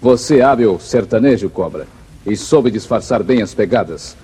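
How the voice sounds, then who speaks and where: Jerônimo, mellow commanding backlands hero. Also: male voice, fairly low pitch, lively intonation, normal speed, slightly rough. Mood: confident, commanding. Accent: Brazilian. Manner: composed, authoritative, formal. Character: calm, commanding male backlands western hero. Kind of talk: 1950s Brazilian radio serial acting. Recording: noisy muffled vintage radio recording.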